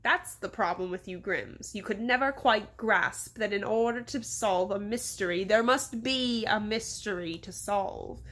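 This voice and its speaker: A pompous storybook mayor with a British accent, acted out by a woman reader. He drags his words out with sneering condescension and is quick to show irritation. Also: mid-range voice, fairly bright tone. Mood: condescending. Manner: drawn-out, condescending delivery.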